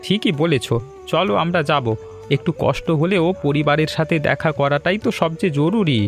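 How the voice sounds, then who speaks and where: A man voicing a considerate, agreeable young husband and caring son-in-law in an animated story. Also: a little high, moderate pace, soft background music, lightly acted. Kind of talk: Bengali animated story dialogue.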